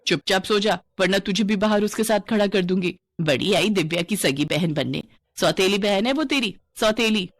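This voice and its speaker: A woman's voice: medium pitch, normal speed, no echo, close to an everyday voice. A woman voicing a cruel village stepmother in a story, with a sneering, taunting manner. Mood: harsh, taunting.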